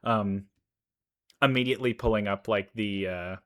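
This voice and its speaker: A man giving casual, enthusiastic reaction commentary, halting as he thinks aloud. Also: fairly low pitch, fairly bright tone. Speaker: reaction video host, gamer.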